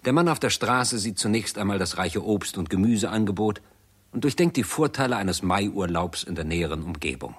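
An actor playing a grave narrator, reading a scripted science fiction chronicle in a formal, measured way for a 1960s radio play. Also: male voice, lowish voice, lively intonation, husky. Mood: grave, factual.

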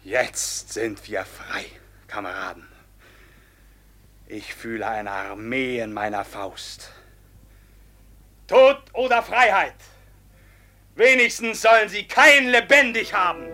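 Forceful, defiant robber captain. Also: male voice, medium pitch, takes it slowly, pauses often. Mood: fierce, rousing. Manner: declamatory, mocking, commanding. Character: proud, defiant robber captain, commanding his band. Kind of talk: classical stage-style radio drama acting.

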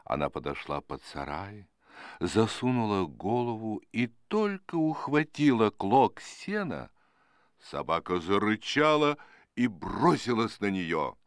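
A man narrating short fables as an expressive storyteller. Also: on the low side, slow pace, no echo, clean studio sound.

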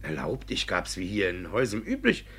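A flustered, sly village judge in an old radio drama. His voice is bright and forceful with a gravelly edge, swinging widely in pitch as he stammers and puffs himself up pompously. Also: huge pitch swings, a little fast, heavily acted. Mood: flustered, submissive. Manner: stammering, pompous, blustering when cornered. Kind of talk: 1950s German radio play acting. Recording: 1950s radio drama recording.

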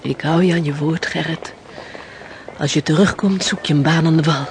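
A woman's voice: sits low, steady tone, moderate pace. Young sailor's girlfriend in an old-time radio play, playful n loving, noticeable background noise. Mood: tender, anxious. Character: teasing, affectionate young sweetheart of a sailor. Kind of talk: historical radio play acting.

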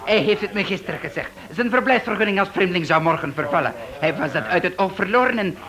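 An actor playing a jovial, fussy Spanish guesthouse host who stammers and repeats himself in a radio detective play. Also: male voice, sits high, expressive, fast, crowd noise. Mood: excited, chuckling. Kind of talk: radio detective drama acting. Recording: old radio play, voices murmuring behind.

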